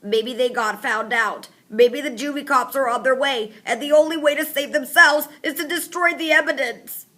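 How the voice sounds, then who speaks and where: A woman voicing a whiny teenage boy with a nasal, stuffed-up voice, fretting in a slow, drawn-out way. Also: sits high, pushed and bright, pitched above the performer's own voice. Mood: whining. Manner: whining, panicky.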